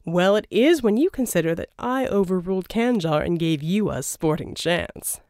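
A woman's voice: fairly low pitch, animated, moderate pace, a little mellow, no room sound, clean studio sound. Woman performing a flamboyant scheming male villain, wildly swooping. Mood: mocking. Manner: theatrical, wheedling, pompous. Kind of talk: audiobook character dialogue.

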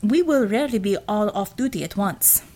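Woman acting Russian female commissioner, audiobook character, staunch communist, sharp-tempered, blunt, clipped broken English, Russian accent, animated intonation. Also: medium pitch, a little fast. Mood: scornful.

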